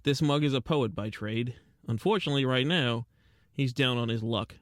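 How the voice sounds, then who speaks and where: A man's voice: mid-range voice, normal speed, dry recording, studio quality, close to an everyday voice. A man playing a self-doubting, streetwise penguin detective in a radio drama, with American accent and slangy tough-guy talk in an old-time style. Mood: wry narration. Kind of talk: 1940s radio detective acting and narration.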